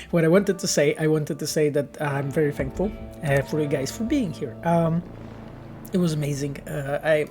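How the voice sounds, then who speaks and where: Halting, earnest male game developer. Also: on the high side. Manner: earnest, halting explanations with many restarts. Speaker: game developer streaming and answering chat. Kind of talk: casual livestream Q&A.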